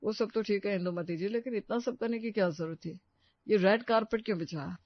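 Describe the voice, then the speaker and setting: An actor voicing a modest, polite male celebrity vlogger in an animated moral story. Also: mid-range voice, brisk, no echo, lo-fi sound, natural voice.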